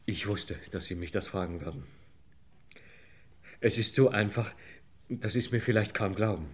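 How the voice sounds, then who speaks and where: Actor playing a deep-voiced, desperate older clerk. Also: male voice, natural voice. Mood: pleading, desperate. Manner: halting, pleading, apologetic. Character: desperate, pleading 62-year-old male clerk confessing embezzlement. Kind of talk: mid-century German radio crime drama acting.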